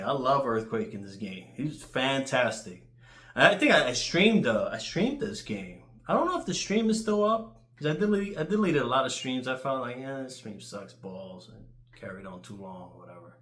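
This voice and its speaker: A man's voice: mid-range voice, a bit bright. New dude chatting casually about his stuff, blunt and sweary, roomy echo on the sound. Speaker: YouTuber telling stories about video games. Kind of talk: casual rambling storytelling.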